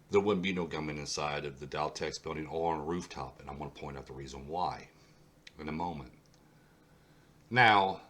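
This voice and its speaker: Very deep-voiced congested man. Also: fairly bright tone. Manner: insistent, repeats points for emphasis. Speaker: host of a history mystery channel. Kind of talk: solo video talk over a map.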